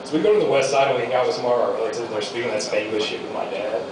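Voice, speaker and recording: A young American man talks his way through a stand-up comedy set in a small venue, loose and rambling. He sounds far from the mic, and the room echoes heavily. There is a steady hum of room noise behind him. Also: mid-range voice, rapid-fire. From United States. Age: young adult. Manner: loose rambling delivery with fillers. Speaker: amateur stand-up comic.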